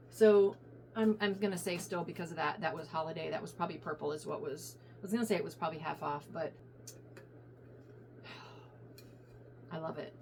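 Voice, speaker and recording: A middle-aged woman from Virginia chatting casually to viewers in a rambling, self-correcting way while her voice goes hoarse. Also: on the low side, slight background noise. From coastal Virginia, US. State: voice going hoarse. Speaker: reseller vlogger. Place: home room with piles of stuff. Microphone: phone on a ring light.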